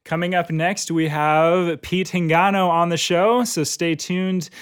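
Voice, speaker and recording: Male podcast host, slightly high, smooth and clear, casual with lots of ums. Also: steady tone, measured pace. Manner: friendly and joking, with many ums. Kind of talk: casual church podcast chat.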